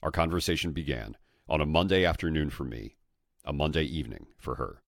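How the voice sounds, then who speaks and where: Very deep-voiced male podcast host. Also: lively intonation, brisk, gravelly, no echo, clean studio sound. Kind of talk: measured scripted podcast introduction.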